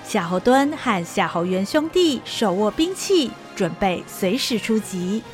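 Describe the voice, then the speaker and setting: A woman's voice: mid-range voice, very animated, measured pace, faint music behind. A woman narrating a historical radio drama in a vivid, dramatic storytelling style.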